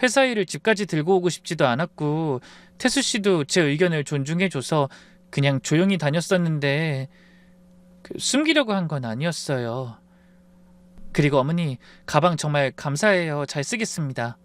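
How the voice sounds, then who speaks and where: A man voicing a soft, calm daughter-in-law. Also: a little high, normal speed, close to an everyday voice. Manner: soft, composed, polite.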